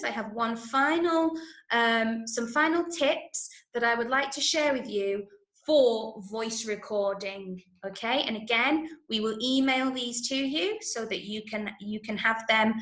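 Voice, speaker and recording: A woman with an English accent leading an online drama workshop for children, giving clear instructions and often checking in. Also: fairly high pitch, sharp tone. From Manchester, England. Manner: clearly instructional, frequent check-ins with okay and right. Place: indoors, online video session, roomy sound. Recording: roomy echo on online call.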